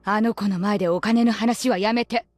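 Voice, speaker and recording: A woman's voice: medium pitch, fairly even intonation, fast, faint music behind, close to an everyday voice. A woman voicing a wary, curt young mother in a Japanese visual novel, guarded and businesslike.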